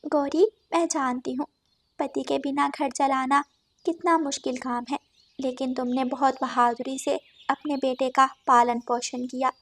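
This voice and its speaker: A sympathetic female sparrow from a children's cartoon, acted by a woman. She speaks gently and earnestly in a high voice, like a caring friend. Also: fairly even intonation, unhurried.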